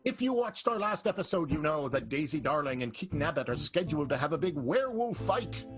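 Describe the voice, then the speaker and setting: A man announcing an improvised radio comedy show in a showy, theatrical way with drawn-out emphasis. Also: a little high, very animated, over music. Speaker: announcer, narrator.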